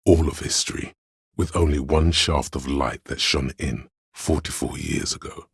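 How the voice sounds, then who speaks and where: Pompous, cavernously deep gravelly inner voice. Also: male voice, clean studio sound, exaggerated voice. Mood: brooding, ominous. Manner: pompous, theatrical, deliberate diction. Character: cerebral, pretentious inner voice of artistic imagination. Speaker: game voice actor. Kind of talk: dramatic scripted game narration.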